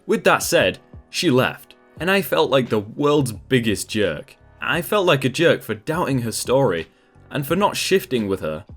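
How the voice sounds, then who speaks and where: A man narrating a read-aloud online story in a scripted, expressive manner. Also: medium pitch. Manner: lively, expressive reading. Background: faint background music.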